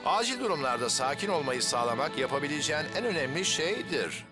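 A man voicing a calm, confident fireman hero in a children's cartoon dub, steady and reassuring. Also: a little high, fast talker. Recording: loud music and background clamor.

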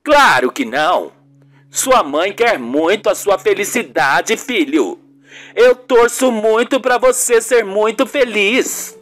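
Older mother, acted by a man, high swooping voice, needy, melodramatic, radio soap. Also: very animated, slow, fairly bright tone, heavily acted. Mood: pleading. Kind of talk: amateur radio soap acting.

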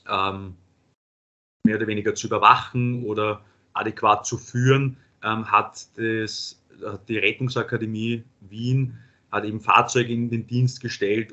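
A paramedic lecturer with an Austrian accent presenting a webinar, pausing often with fillers. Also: male voice, on the low side, bright, forceful tone. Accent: Austrian German. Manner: halting, many filler sounds. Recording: dull lo-fi webinar audio.

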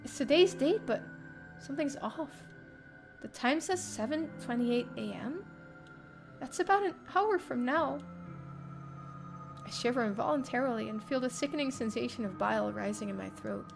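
A young woman streamer reading a visual novel aloud in the first person, expressive and theatrical. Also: on the high side, normal speed, creaky, pauses often. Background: game music.

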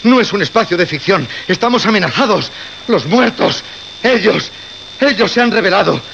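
A man's voice: sits high, lively intonation, moderate pace, gravelly, fairly bright tone, full character voice. A man acting a desperate, doomed radio broadcaster in a Spanish horror radio play, pleading dramatically with a Castilian accent. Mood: urgent pleading. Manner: pleading, dramatic, repeating himself. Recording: muffled radio recording with background noise.

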